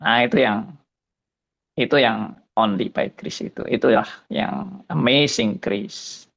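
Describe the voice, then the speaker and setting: A pastor in his seventies giving a slow, musing spoken testimony, often tagging his phrases with a soft "ya". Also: mid-range voice, animated, gravelly, highs cut off. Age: 70s.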